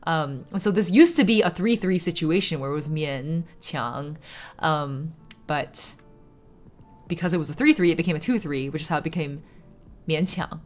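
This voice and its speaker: Chatty woman, lower voice. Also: moderate pace, soft background music. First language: Shanghainese. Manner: chatty and explanatory, heavy filler words, casual swearing. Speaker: streamer. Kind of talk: casual chat while crafting on stream.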